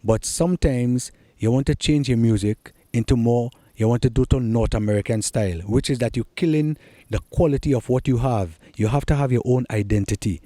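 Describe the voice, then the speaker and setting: A man's voice: mid-range voice, fairly quick, dark tone, no room sound. A middle-aged man with a Trinidadian accent, answering interview questions in an earnest, encouraging way. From Trinidad and Tobago. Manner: earnest, encouraging, repeats key points. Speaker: soca artist guest.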